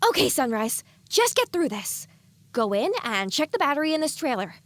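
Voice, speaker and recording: A woman's voice: sits high, very animated, normal speed, no echo. A woman voicing the inner thoughts of an anxious, earnest young female pony in an audio drama. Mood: urging herself. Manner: earnest, worried self-talk.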